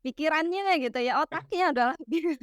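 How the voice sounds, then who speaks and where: A young woman from West Sumatra explaining ideas in a relaxed, teacherly chat, full of fillers and tag questions. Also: high-pitched, moderate pace, dry recording, clean studio sound. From West Sumatra, Minangkabau. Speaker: guest explaining literary theory.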